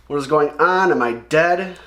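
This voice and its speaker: A man's voice: a little high, moderate pace, bright, forceful tone, echoey room. A man voicing a bewildered, alarmed comic-book hero with questions and exclamations.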